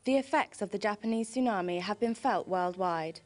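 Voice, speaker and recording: A woman's voice: mid-range voice, normal speed. A woman reading a scripted news report as a reporter. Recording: music and crowd chatter behind.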